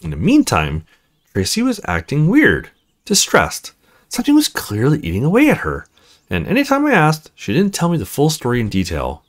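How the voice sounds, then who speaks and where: Man reading as cool married woman, very lively intonation. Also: fairly high pitch, moderate pace, voice pushed up. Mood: serious, explaining. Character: cool, confiding married female neighbor, self-described ruthless cougar. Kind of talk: visual novel dialogue read aloud.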